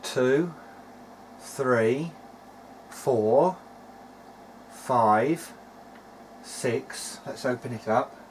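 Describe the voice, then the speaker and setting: A man's voice: mid-range voice, drawn-out words, pauses often, a little hiss or hum, poor audio. A man giving a casual technical narration of an electronics repair as he works, thinking aloud a little hesitantly. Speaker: hobbyist presenter of an electronics repair video diary.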